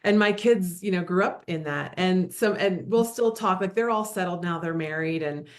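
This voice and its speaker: Casting: fifties woman, friendly interview guest, easy laugh, halting ums. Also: on the low side. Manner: friendly, laughs often, many ums and restarts. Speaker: guest, realtor. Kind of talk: casual interview conversation.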